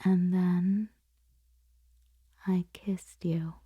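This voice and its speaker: A woman playing a conflicted boss confessing forbidden desire in an intimate, breathy, whispered ASMR roleplay monologue. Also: on the low side, fairly even intonation, no echo, muffled recording, heavily acted. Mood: longing, sensual. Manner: slow, intimate, halting delivery.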